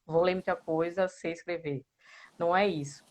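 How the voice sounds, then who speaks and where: A woman's voice: fairly low pitch, steady tone, normal speed. A Brazilian Portuguese-speaking woman giving casual, explanatory interview answers, often checking in with small tag questions. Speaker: interviewed member of a writing group.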